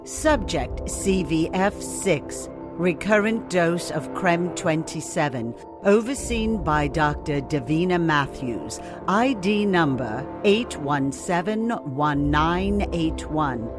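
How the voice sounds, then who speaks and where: A cool woman doctor in an audio drama, very slow and formal. She is clipped and sardonic, her fairly low voice set over clear background music. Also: lively intonation. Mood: clinical. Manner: formal, deliberate.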